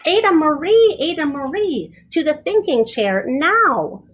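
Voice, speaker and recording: A woman voicing the exasperated parents of a small girl in a storybook, scolding in sharp, commanding outbursts. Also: sits high, sing-song, unhurried, voice pushed up.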